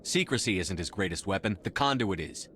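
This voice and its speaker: Low-voiced resolute military commander. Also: male voice, expressive, fairly quick, fairly bright tone, slight background noise. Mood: firm, insistent. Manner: firm, clipped. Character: resolute male military commander, blunt and steady. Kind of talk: video game voice acting. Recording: music behind the voice.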